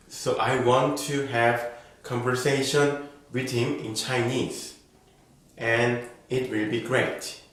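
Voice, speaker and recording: Slow, careful man in an echoey room. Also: mid-range voice, fairly bright tone. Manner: slow, careful, model-answer delivery. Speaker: IELTS speaking teacher. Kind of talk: reading sample exam answers as a lesson.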